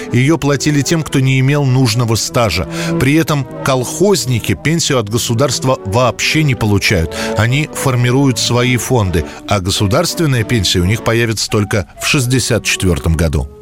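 A man hosting a programme, reading a scripted narration about history in a studio. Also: mid-range voice. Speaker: radio programme host.

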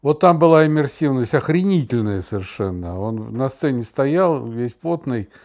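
A man's voice: medium pitch, very animated, normal speed, a little mellow. A middle-aged or older man co-hosting a podcast, chatting casually and telling anecdotes in a wry, joking way. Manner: wry, mock-plaintive joking. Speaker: podcast co-host.

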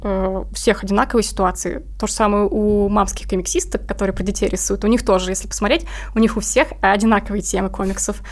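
A woman's voice: mid-range voice, steady tone, fast, few pauses. A woman talking casually and informally as an interview guest, explaining things in a conversational way. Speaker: interview guest, comic artist.

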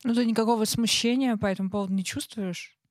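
A woman co-hosting a casual, reflective conversation, thinking aloud in halting phrases. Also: on the high side, fairly even intonation, no room sound, clean studio sound. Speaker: talk show co-host.

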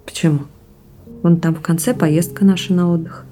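An actress playing a practical, supportive and gently teasing wife in an intimate conversational audio drama. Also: female voice, fairly low pitch, fairly even intonation, normal speed, close to an everyday voice. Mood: practical, reassuring.

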